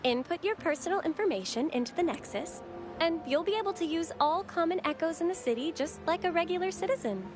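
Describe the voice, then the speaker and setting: A gentle, devout young woman of a holy order in a game. Her voice is very high and lilting, with courteous, formal phrasing over background music. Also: expressive, brisk. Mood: helpful, formal. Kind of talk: video game voice acting.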